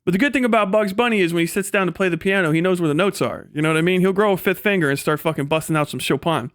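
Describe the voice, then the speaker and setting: A man hosting a livestream, giving casual, profanity-laden political commentary in a rambling, animated manner. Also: high voice, very fast, no room sound, clean studio sound. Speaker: livestream host.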